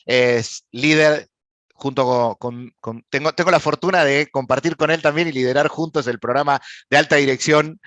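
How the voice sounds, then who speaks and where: Argentine man, mid-pitched, dry sound, eager moderator who stumbles on fillers. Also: normal speed, a bit bright, no room sound. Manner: enthusiastic, with frequent hesitations and fillers. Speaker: panel moderator. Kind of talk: introducing panelists at an online event.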